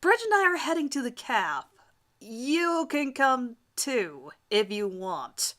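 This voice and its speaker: A woman's voice: high voice, big pitch swings, slow pace, fairly bright tone, heavily acted. Woman doing a jealous teen boy, forcing politeness. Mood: strained, reluctant. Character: jealous, mellow teenage boy in cowboy hat.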